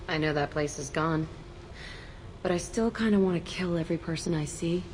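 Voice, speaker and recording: An actress voicing a tough, volatile young female ex-convict who talks rough and defiant, in a game cutscene. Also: sits low, normal speed, a little mellow, close to an everyday voice, low-quality recording. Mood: gruff. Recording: game audio with faint ambient noise.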